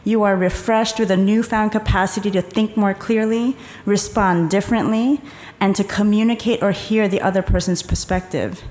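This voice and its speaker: A woman giving a conference talk, calmly guiding the audience through a breathing exercise and explaining the ideas behind it. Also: medium pitch, normal speed. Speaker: conference presenter. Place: conference hall. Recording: echoey conference hall.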